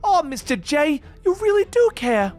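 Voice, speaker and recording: A doting, girlish young villainess sidekick in a comic book story, played by a man narrating. The voice leaps very high and swings about in exclamations, with music behind. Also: sing-song, normal speed, a little mellow. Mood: adoring.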